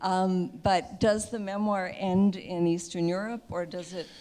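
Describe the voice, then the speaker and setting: A woman's voice: lowish voice, flat delivery, measured pace, mellow. A woman in an audience giving an informal comment and asking a question.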